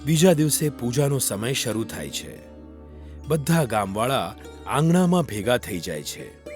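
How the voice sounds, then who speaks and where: A man's voice: mid-range voice, very animated, unhurried. A man narrating a scripted moral story for children. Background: faint music.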